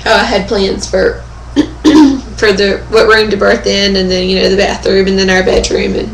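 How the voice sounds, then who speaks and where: A young woman with a hoarse, fading voice, chatting casually in a webcam update from home and often pausing with fillers and throat clearing. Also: mid-range voice, completely flat delivery, slight background noise. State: hoarse, losing her voice. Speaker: vlogger. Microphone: webcam microphone. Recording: echoey room on a webcam.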